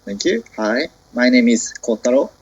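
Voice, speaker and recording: Husky Japanese-accented man, halting. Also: mid-range voice, very animated, dull audio. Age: 20s. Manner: careful, halting, searching for words. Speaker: committee member. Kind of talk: self-introduction in a meeting. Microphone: video call.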